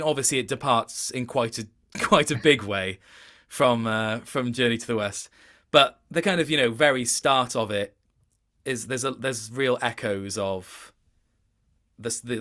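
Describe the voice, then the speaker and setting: Man, mid-pitched voice, casual interviewee, thoughtful, hesitant, rephrasing as he goes. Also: moderate pace, a bit bright. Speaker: voice actor being interviewed.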